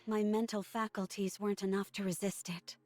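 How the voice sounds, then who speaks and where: A woman's voice: medium pitch, level tone, brisk, a little mellow, no echo, close to an everyday voice. A woman voicing a subdued, earnest, remorseful female android soldier in a dramatic video game scene. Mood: remorseful, haunted. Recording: music behind the voice.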